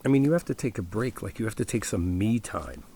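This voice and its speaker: A man playing a caring, laid-back best friend in an ASMR roleplay, speaking conversationally with hesitant, reflective pauses. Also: fairly low pitch, expressive, dark tone, slight background noise. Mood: earnest, reassuring.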